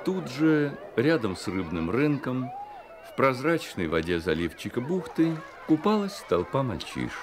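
A man narrating a literary story for a Soviet-era radio play, measured and expressive, with deliberate pauses. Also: mid-range voice, background noise. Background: music.

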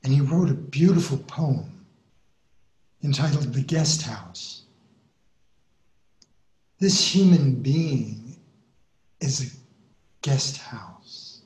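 Slow, reverent man in an echoey room. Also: mid-range voice, lively intonation, a little mellow. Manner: measured, reverent, with long pauses. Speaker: guided meditation co-host. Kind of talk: slow, solemn poetry recitation and meditation welcome.

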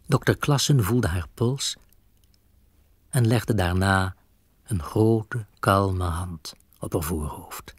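A man tells a children's story in a scripted storybook style. His voice is fairly low and husky, recorded close and dry. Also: slow, dry recording. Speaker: narrator of a children's audio play.

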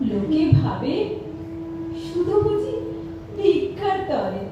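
A woman playing a pleading young beggar in a poetic radio-style drama, speaking in slow, drawn-out verse. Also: very high-pitched, very animated, muffled recording, noisy background, full character voice. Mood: plaintive. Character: pleading young beggar woman urging her beloved away from temple. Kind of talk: recited Bengali stage audio drama. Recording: distant, echoing hall, music behind.

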